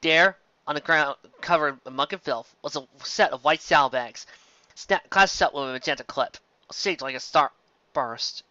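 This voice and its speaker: Male amateur storyteller, higher-pitched, forceful, scripted fantasy narration, dry muffled recording. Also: normal speed, dry recording. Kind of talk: amateur fan fiction reading, scripted narration.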